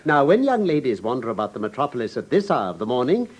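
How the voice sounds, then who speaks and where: A man's voice: medium pitch, big pitch swings, fast. A man with an English received pronunciation accent playing a courteous, self-assured detective, formal and precise, in a classic radio drama. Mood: brisk.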